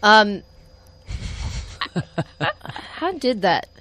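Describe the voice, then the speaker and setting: A woman's voice: mid-range voice, very animated, very slow, a bit bright, no echo. Chatty young woman telling a story. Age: 20s. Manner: chatty storyteller, many fillers. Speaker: guest. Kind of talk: casual personal conversation.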